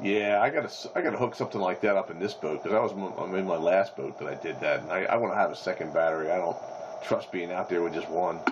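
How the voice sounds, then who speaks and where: Deep-voiced male for easygoing radio chat, dull muffled sound. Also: fast talker. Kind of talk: casual chat over CB radio. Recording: muffled, noisy CB radio audio.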